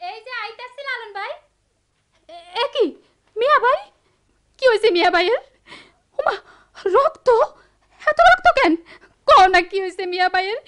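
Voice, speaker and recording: An actress playing an anguished, devoted young village sister and daughter in a Bengali radio drama, plaintive and emotional, with a rural Bangladeshi dialect. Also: female voice, very high-pitched, expressive, normal speed, long pauses, a bit bright. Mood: alarmed, tearful.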